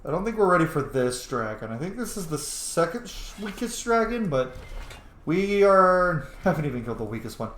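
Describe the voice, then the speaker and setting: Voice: mid-range voice, sing-song, moderate pace, a bit bright, echoey room, a little hiss or hum. A gaming streamer giving casual, chatty playthrough commentary, playful and full of swearing.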